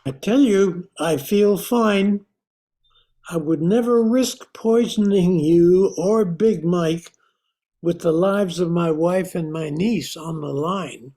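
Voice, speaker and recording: Man playing a slow, mellow, cunning doctor. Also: sits high. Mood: calm, goading. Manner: measured, composed, explanatory. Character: calm, cunning doctor uncle, a scientist outwitting gangsters. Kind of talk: old-time radio thriller acting.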